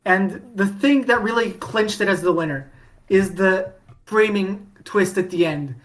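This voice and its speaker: A woman's voice: medium pitch, a little slow. Woman podcast co-host, chatty fan talk, loose and rambling, frequent false starts. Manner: rambling, many restarts and fillers, laughs often. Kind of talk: casual fandom chat.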